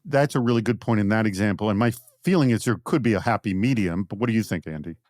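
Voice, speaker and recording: A man's voice: lowish voice, quick pace, a little mellow, dry recording, clean studio sound. A man hosting a panel podcast, reading out prompts and putting questions to guests. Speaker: podcast host.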